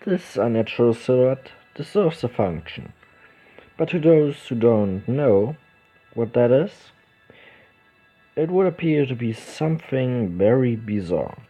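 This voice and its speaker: A man reading a visual novel's narration aloud, slowly and with small stumbles and restarts. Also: mid-range voice, lively intonation, warm tone. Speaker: let's play host. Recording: dull lo-fi recording with game music behind.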